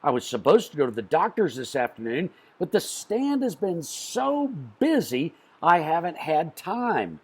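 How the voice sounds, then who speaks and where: A man's voice: on the high side, big pitch swings, unhurried, few pauses, breathy edge, exaggerated voice. A man voicing a weary, incredulous storybook newsstand seller with a cold. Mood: weary, confiding.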